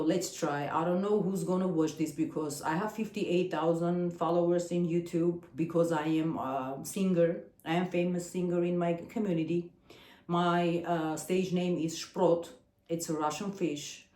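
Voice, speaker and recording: A woman in her forties from Armenia, with an Armenian accent and Armenian as her first language, talking to the camera in a personal vlog, pausing often and searching for her words. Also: fairly low pitch, a little mellow, echoey room. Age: 40s. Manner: hesitant, searching for words, frequent fillers. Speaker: singer and channel host.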